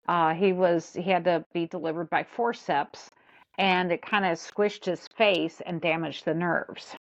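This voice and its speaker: A woman chatting casually on a podcast, often laughing at herself. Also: on the low side. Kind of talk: casual chat. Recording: dull lo-fi line.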